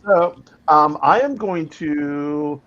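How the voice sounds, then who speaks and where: A person with a deep voice chatting casually and laughing often while playing a party game on a livestream. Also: male voice, extremely slow. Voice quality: voice on the deep side. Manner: chatty, laughs often, acts out quotes in a higher voice. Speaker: co-host. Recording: dull lo-fi livestream audio.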